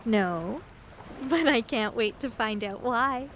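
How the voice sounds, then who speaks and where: A woman's voice: on the high side, lively intonation, slow, fairly bright tone, slight background noise. An actress playing a foolish, naive young woman in love, coy and swooning, in a comedy horror audio drama. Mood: love-struck.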